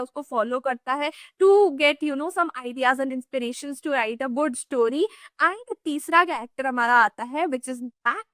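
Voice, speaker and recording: A young woman with an Indian accent leads a revision lesson for students. Her voice sits high and bright, recorded dry and close with no room sound. She explains in a chatty, conversational way, mixing languages and leaning on fillers. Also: no echo. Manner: chatty code-switching explainer with many "you know" fillers. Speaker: English teacher.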